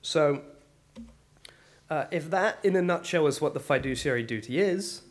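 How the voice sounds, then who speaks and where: A man with a gravelly voice, hoarse from a cold, giving an academic lecture in a wry, measured way. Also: on the high side, lively intonation. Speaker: guest lecturer.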